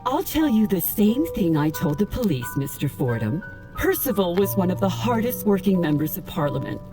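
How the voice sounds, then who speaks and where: An actress voicing a proud older widow in mourning, curt and formal with sharp, indignant replies, in a video game. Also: female voice, lowish voice, very animated, normal speed. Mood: guarded. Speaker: grieving widow of a politician. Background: game music.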